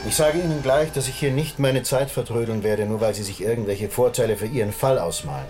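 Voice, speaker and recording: A man's voice: medium pitch, brisk, a little mellow, lightly acted. Man playing an impatient, sardonic police inspector. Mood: impatient, condescending. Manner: curt, probing, sarcastic. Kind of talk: naturalistic German radio drama acting.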